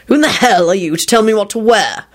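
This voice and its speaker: A woman's voice: medium pitch, expressive, quick pace, pitched above the performer's own voice, close to an everyday voice. Woman doing a snooty vampire lord for a fantasy audiobook, imperious, petulant, voice lifts and swoops when offended. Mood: spiteful, haughty. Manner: imperious, formal, clipped indignation. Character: haughty, petulant, cold male vampire lord.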